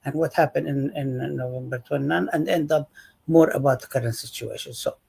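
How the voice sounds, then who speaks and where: A woman moderating an online panel over a video call, talking haltingly with many fillers. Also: a bass voice, gravelly, a little mellow, breathy edge.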